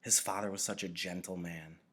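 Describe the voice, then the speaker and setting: A man with a low-pitched voice reads a novel aloud. He narrates it as a storybook, in the role of a teacher reading to students. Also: steady tone, moderate pace, a bit bright.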